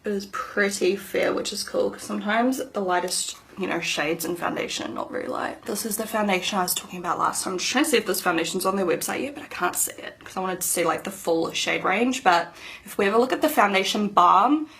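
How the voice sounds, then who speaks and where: A woman's voice: medium pitch, fast talker, creaky, fairly bright tone, rough recording. A woman in her thirties, a beauty vlogger chatting casually to camera in an upbeat, rambling way, slightly nasal from hay fever. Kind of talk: casual makeup review to camera.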